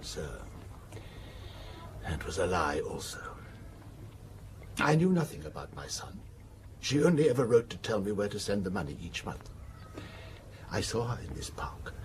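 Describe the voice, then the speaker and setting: An actor playing a rich, wronged man making an anguished confession in a radio drama, in halting, clipped phrases. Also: low-pitched, a little hiss or hum. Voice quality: wheezy.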